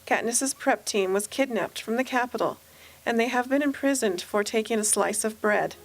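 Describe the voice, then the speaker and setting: A woman acts as the narrator of an amateur radio play. Her voice is fairly high and she reads scripted story recaps. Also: normal speed, natural voice, low-quality recording. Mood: explanatory.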